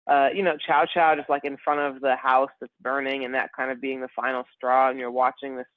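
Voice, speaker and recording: A man co-hosting a casual podcast, rambling and animated, calling his friend bro and man. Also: mid-range voice, brisk, no echo. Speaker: podcast co-host. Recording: dry phone-like sound.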